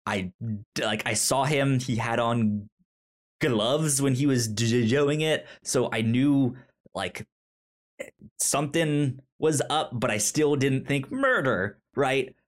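A man's voice: medium pitch, slow pace. A man co-hosting a casual film podcast, rambling informally and stuttering as he repeats sounds and syllables. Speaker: film review podcast co-host. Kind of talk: casual movie discussion.

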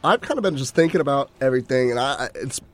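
A man's voice: medium pitch, animated, quick pace. A young man radio DJ co-hosting a podcast, a bit stuffy from a cold, rambling and reflective. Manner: rambling, reflective, many fillers. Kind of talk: casual chat.

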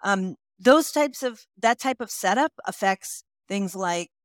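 A woman in her forties with an American accent, a company CEO answering interview questions in a measured way, often pausing with "um". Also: medium pitch, lively intonation, dry recording, studio quality. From United States. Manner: measured, many ums while thinking. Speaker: CEO guest. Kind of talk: podcast interview.

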